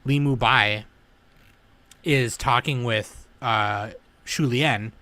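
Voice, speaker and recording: A man chatting on a podcast as a guest, telling stories with animated energy and slipping into impressions. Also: mid-range voice, very slow. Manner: animated storyteller who slips into impressions. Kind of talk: casual podcast chat.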